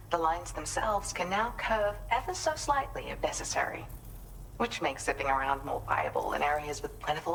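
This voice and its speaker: Composed, low-pitched woman game ally. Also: a bit bright, slight background noise, full character voice, low-quality recording. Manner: polite, articulate, explanatory. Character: kind, composed gifted woman prospector and inventor, grateful. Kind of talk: scripted video game cutscene dialogue.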